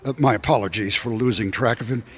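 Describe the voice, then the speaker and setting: An actor playing a hesitant, placating, apologetic doctor in a radio mystery. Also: male voice, fairly low pitch, quick pace, natural voice. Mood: apologetic, flustered. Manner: hesitant, placating, stammering with nervous chuckles. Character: flustered, apologetic male doctor with a patient.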